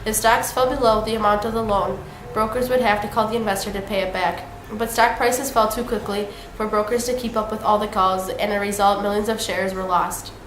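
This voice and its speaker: A woman's voice: mid-range voice, steady tone, brisk, hardly any pauses, fairly bright tone. A woman reading a scripted mock 1930s newscast as the news anchor. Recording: echoey room, faint noise.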